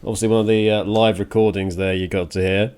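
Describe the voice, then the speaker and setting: A man hosting a music radio show and podcast solo, talking casually in a halting, rambling, conversational way with a bit of a rant to it. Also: on the low side, level tone, darkish tone, clear, resonant tone. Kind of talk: casual solo podcast talk, partly ranting.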